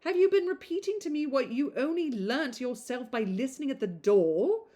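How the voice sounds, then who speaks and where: A woman voicing a principled young gentlewoman from a period novel, speaking in a formal, reproachful manner. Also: sits high, lively intonation, moderate pace, voice pushed up. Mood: indignant. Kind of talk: novel read aloud.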